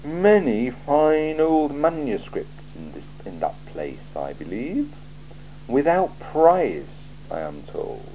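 A man voicing a cool, sardonic male city ruler in an audiobook, speaking in a slow, measured, dry and probing way. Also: medium pitch, wildly swooping pitch, dark tone, slight background noise, voice pushed up, exaggerated voice, low-quality recording. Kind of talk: audiobook character voice.

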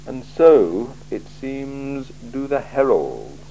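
A man voicing a cool, sardonic male city ruler in an audiobook, speaking in a slow, measured, dry and probing way. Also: medium pitch, sing-song, creaky, mellow, slight background noise, voice pushed up, full character voice, low-quality recording. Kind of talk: audiobook character voice.

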